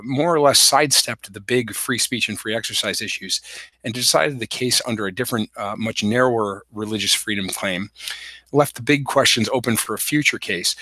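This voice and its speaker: A man hosting a solo legal explainer livestream, hoarse from a cold, talking with many fillers and restarts. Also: medium pitch, pushed and bright, no echo, low-quality recording. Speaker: podcast host.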